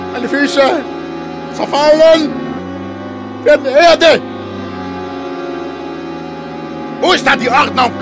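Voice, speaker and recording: An actor playing a guilt-ridden, hallucinating murderer who repeats himself obsessively in a poetic radio drama. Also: male voice, very high-pitched, heavily acted. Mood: terrified, desperate. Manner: obsessive repetition, swinging from whispering to crying out. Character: guilt-ridden child murderer, tormented, hallucinating, crumbling in terror.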